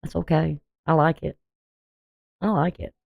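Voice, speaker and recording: A woman with a deep voice giving a casual daily astrology reading, talking bluntly and conversationally with frequent "you know". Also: fairly even intonation, measured pace, gravelly, no room sound, narrow, tinny audio. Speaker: astrology channel host.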